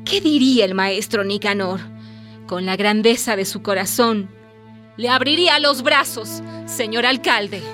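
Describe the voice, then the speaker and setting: Actress doing high-pitched woman village leader, firm but warm, radio drama with loud music behind. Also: unhurried, close to an everyday voice. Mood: firm, dignified.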